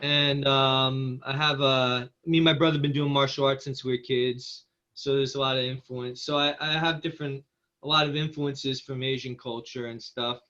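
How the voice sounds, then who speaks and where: A man's voice: medium pitch, flat delivery, moderate pace, pushed and bright, dull audio. A man with an encouraging, rambling manner, giving casual feedback as the writer at a table read.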